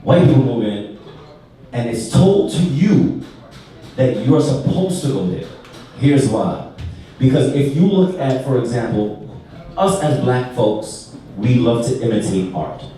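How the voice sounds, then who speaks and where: A man's voice: mid-range voice, sing-song, a little slow, dark tone. Emphatic man in a roomy hall. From New York, USA. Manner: emphatic, lecturing, building an argument. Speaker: panelist. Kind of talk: live panel discussion. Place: large echoey art venue, live taping. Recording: very roomy, distant live recording.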